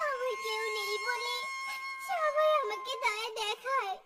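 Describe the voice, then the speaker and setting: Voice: very high-pitched, unhurried, distant mic. A performer voicing a meek, tearful young orphan woman in a melodramatic cartoon, pleading plaintively. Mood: crying, anguished. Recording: loud children's music behind.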